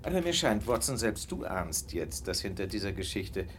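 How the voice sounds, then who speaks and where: An actor playing a shrewd, self-assured detective recounting a case in a radio drama, knowing and measured. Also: male voice, fairly low pitch, very animated, a little hiss or hum. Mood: knowing, composed.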